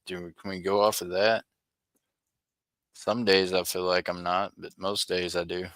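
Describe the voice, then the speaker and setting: Man, forties, low bright voice, rambling live-stream chat, dry close sound. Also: flat delivery, normal speed, no echo. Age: 40s. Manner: rambling, chatty, talks to viewers by name. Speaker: coin roll hunter streaming live.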